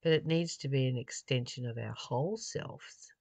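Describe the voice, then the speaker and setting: A person reading a spiritual text aloud and adding informal, reflective commentary, pausing mid-phrase in a halting way. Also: mid-range voice, gravelly, darkish tone, no echo. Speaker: spiritual study reader and commentator.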